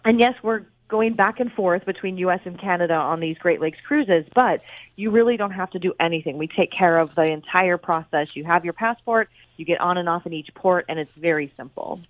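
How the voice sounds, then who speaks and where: A woman representing a cruise line, pitching its trips in an upbeat, fluent way during an interview. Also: a little fast, dry recording. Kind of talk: promotional interview talk. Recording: tinny phone line.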